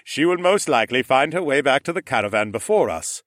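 A man's voice: mid-range voice, expressive, a bit bright, dry recording, clean studio sound, pitched above the performer's own voice, natural voice. A man voicing a stately ram lord, formal. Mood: earnest. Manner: formal, measured.

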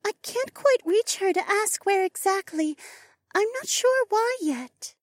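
A woman playing a soft-spoken, deferential female spirit in a dramatised fantasy audiobook, with a hushed manner. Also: very high voice, lively intonation, a little slow, no echo, clean studio sound. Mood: urgent, uncertain.

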